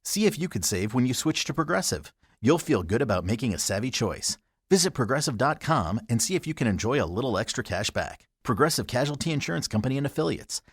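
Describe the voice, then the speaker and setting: A man's voice: medium pitch, big pitch swings, quick pace, slightly rough, no echo, studio quality. An American man reading a scripted advertisement as an announcer. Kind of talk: scripted ad read.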